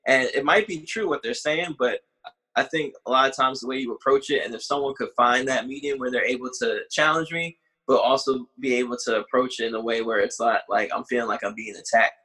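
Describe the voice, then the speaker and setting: A man's voice: medium pitch, brisk, pushed and bright, slightly rough, low-quality recording. A man in his late twenties chatting casually as a podcast co-host, talking things through in a reflective way and searching for his words. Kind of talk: casual conversation.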